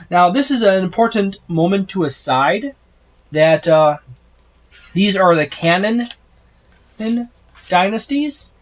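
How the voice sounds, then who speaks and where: A man's voice: a little high, slight background noise. A man in his thirties hosting a tabletop gaming video from a room full of game shelves, rambling through a rulebook with many fillers and restarts. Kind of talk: casual book overview. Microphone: special microphone, close.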